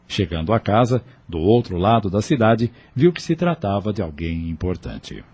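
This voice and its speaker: A man's voice: on the low side, very animated, normal speed, a little mellow, breathy edge. A man narrating an audio novel in a scripted, dramatic storytelling style, with faint ambient noise.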